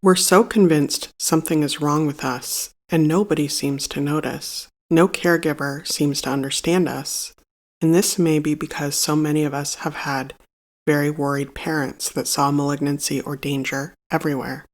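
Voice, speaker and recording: A meditation guide reading a scripted narration in a measured, solemn and instructive manner. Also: medium pitch, fairly even intonation.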